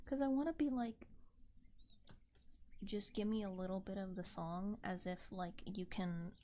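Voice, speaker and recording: A woman streamer with a soft, gentle, often whispery voice, chatting casually to viewers in an ASMR style close to a binaural mic, musing aloud. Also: medium pitch, a little slow. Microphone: close binaural ASMR microphone.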